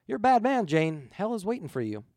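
A man's voice: high-pitched, big pitch swings, a little fast, a little mellow, no room sound, studio quality, pitched above the performer's own voice. A man voicing a shapeshifting spirit posing as a dead pilot, ominous and pleading in an earnest, insistent way.

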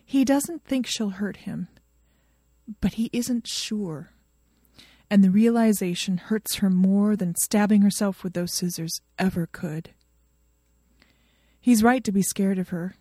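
A woman's voice: medium pitch, takes it slowly, warm tone, no room sound, studio quality. A woman reading a story aloud as scripted narration. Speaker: fan fiction reader.

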